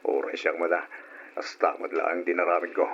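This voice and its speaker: A man playing a lovestruck buddy in a radio drama, chatting casually in a husky, joking voice. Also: sits low, flat delivery, measured pace, slight background noise. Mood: playful. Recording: dull, lo-fi old radio recording.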